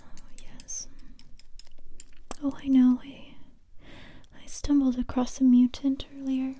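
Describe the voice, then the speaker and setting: A young woman playing a tough, determined survivor interrogating a captive in a soft, breathy ASMR whisper, close to the microphone. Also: high-pitched, monotone. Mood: hushed, menacing. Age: young adult. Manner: slow, deliberate, repeating phrases. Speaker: ASMR roleplay performer.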